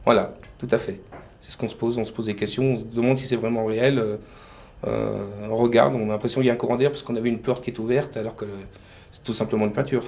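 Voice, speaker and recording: A man's voice: medium pitch, steady tone, fast talker, gravelly, darkish tone. A man from France, a painter and decorator, answering interview questions hesitantly with many fillers.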